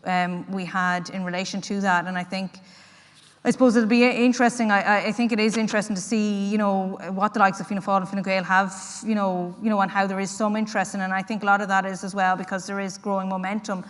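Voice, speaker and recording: Hesitant, quick woman politician. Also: mid-range voice, fairly even intonation. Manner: hesitant, many fillers and restarts. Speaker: party politician. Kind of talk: public meeting contribution. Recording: long hall reverb.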